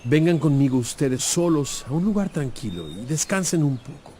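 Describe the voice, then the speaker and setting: Mellow, calm holy teacher. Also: male voice, a little high, moderate pace, a little hiss or hum. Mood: gentle. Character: calm, gently authoritative teacher and holy man. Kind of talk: dramatized audio Bible acting.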